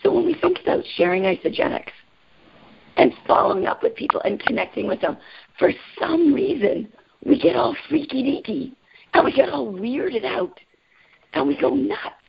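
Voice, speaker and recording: A woman with a raspy voice today, leading a motivational group call in an upbeat, energetic way and asking the group questions. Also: sits high, normal speed, lo-fi sound, slight background noise. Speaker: team call leader.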